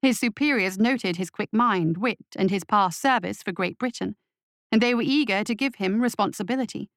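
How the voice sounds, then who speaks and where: A woman's voice: medium pitch, moderate pace, no room sound, clean studio sound. A woman reading scripted fiction as an audiobook narrator, in a dramatic storytelling style.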